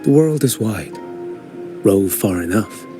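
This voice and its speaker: A calm, grave wandering swordsman in a video game, his voice sitting somewhat low. He talks in a measured, solemn way over game music. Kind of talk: game voice acting. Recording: game audio with music underneath.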